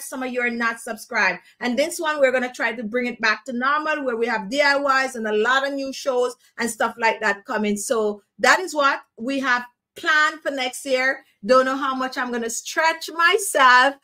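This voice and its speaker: A middle-aged woman with a Jamaican accent chats to her live-stream audience from a room at home. Her voice sits high and sounds bright and clear. She talks in a chatty, animated way into a desk webcam. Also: normal speed, few pauses. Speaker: beauty channel host. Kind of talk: casual live-stream chat.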